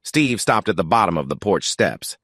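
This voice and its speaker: A man's voice: sits low, very animated, moderate pace, fairly bright tone, no echo, clean studio sound. A man reading a scripted story as its narrator.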